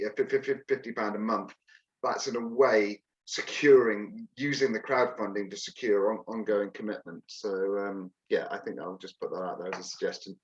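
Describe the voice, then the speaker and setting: A man's voice: on the low side, highs cut off. A man in an online workshop making a suggestion, hesitating and repeating words as he builds his point. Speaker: workshop participant. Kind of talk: online group discussion.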